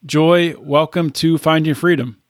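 A male interview host with a fairly high voice lays out the facts in a measured, explanatory way, often restarting his sentences. His sound is dry and close, with no room at all. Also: fairly even intonation, no echo. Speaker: podcast host. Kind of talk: casual interview.

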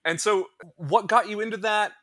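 Brief: male host with a bright, forward voice, asks searching questions in casual chat. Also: sits high, normal speed, no echo, clean studio sound. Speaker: podcast host.

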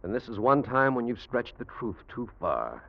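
An actor playing an earnest, wronged rival who speaks pointedly, in 1940s radio drama style. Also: male voice, mid-range voice, very animated, moderate pace, creaky. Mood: pointed, triumphant. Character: wronged male rival, earnest, quietly triumphant accuser. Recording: muffled vintage radio recording.

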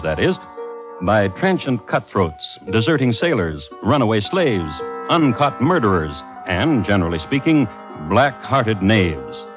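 An actor playing a wry, genteel crime connoisseur, narrating with dry, measured delivery as a radio host. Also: male voice, lowish voice. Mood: deadpan, wry. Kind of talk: 1950s radio scripted narration. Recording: muffled old radio recording, music behind.

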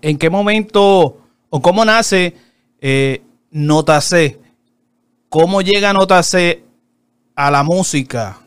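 A man hosting a casual interview show, opening with a lively promo and chatting loosely with his guest. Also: high-pitched, clean tone, dry recording. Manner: lively, upbeat promo delivery with fast asides.

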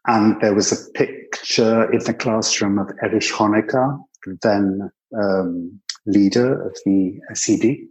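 An older British man speaks in a fairly low voice, telling personal stories as an interview guest. His delivery is conversational and anecdotal, and he quotes people as he goes. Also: fairly even intonation, unhurried, hardly any pauses, darkish tone, lo-fi sound. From Britain. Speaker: interview guest recalling his years living abroad.

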